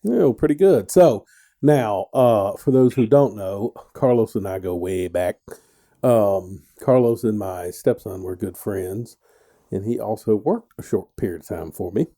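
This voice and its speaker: Middle-aged male host, very mellow dark tone, rambling, joky interviewer. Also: lowish voice, measured pace. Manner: rambling, joking, many restarts. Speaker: radio show host. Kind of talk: casual radio interview.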